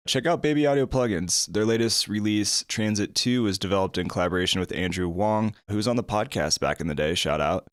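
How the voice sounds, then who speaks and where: A man reading a sponsor message for a podcast in a relaxed, chatty way. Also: clean tone, dry recording, studio quality. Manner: relaxed, chatty pitch with shout-outs. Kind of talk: casual ad read.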